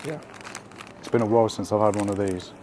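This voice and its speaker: A man hosting a casual food vlog while out in the city, his voice croaky from being ill, chatty and upbeat with lots of slang and fillers. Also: lowish voice, fairly even intonation, fairly quick, a little mellow, a little hiss or hum. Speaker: food vlogger. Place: out and about in city streets and a small eatery.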